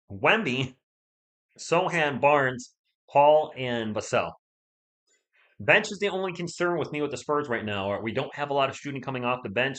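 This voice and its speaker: A chatty man hosting a live stream from his home setup, talking straight into a laptop mic. His pitch bounces around a lot, and he bursts into loud shouts now and then. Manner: chatty, talks to chat, loud bursts when testing. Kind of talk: casual live-stream chat. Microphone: laptop or desk mic.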